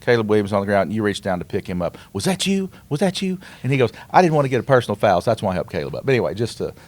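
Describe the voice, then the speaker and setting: A man's voice: fairly low pitch, racing, no room sound. A middle-aged man co-hosting a podcast, casually telling an anecdote and acting out bits of dialogue. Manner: animated retelling with quoted dialogue. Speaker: podcast co-host.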